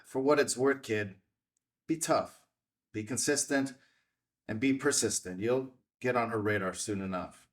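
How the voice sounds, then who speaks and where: A man's voice: medium pitch, unhurried, lightly acted. Man acting weary, blunt widower, slightly distant. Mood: dry, advising. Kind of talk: screenplay table read acting. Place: indoor filmed table read. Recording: slightly distant room microphone.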